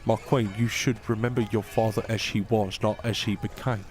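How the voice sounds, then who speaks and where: An actor playing a loyal, gentle royal adviser to a young queen, speaking formally and solemnly. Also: fairly low pitch, steady tone, moderate pace, natural voice. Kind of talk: fantasy audio drama acting. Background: music.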